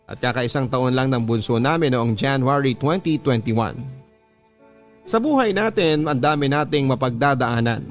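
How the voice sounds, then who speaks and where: A man with a Filipino accent, a radio host reading listener letters as earnest, scripted first-person storytelling. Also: medium pitch. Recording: music bed under the voice. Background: background music.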